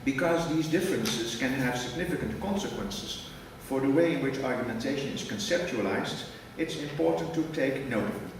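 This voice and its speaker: A man's voice: mid-range voice, expressive. Man lecturing in an echoey hall. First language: Dutch. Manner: measured, reads a prepared text, precise wording. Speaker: academic lecturer. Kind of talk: formal academic conference lecture. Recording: echoey hall from far off with noise.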